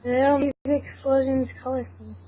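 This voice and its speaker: A woman's voice: sits high, fairly even intonation, fast. A woman reading scripted narration for an amateur fan fiction audio drama. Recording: tinny phone-like audio, clearly noisy.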